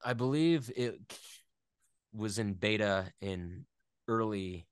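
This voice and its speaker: A man's voice: on the low side, slow, smooth, dry recording, studio quality. A man speaking as an interview guest in a casual, thoughtful, slightly rambling way. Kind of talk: casual chat.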